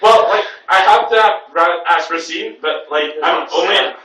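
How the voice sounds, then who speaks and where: Awkward, slangy young woman, read by a man, high and hesitant, distant echoey recording. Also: unhurried. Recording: echoey room, distant mic, faint noise.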